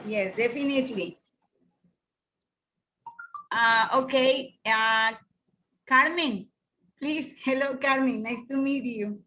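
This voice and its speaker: High, slow-spoken woman. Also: pushed and bright, low-quality recording. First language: Spanish. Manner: hesitant, halting English with many restarts. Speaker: teacher hosting an online class exchange. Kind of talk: hosting a video call. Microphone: video call.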